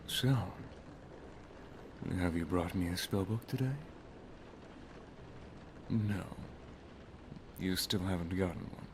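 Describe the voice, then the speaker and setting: Guy acting out a deep voiced wizard master boyfriend for asmr, soft n teasing, kinda noisy recording. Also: takes it slowly, long pauses. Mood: teasing, commanding. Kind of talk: ASMR roleplay, scripted.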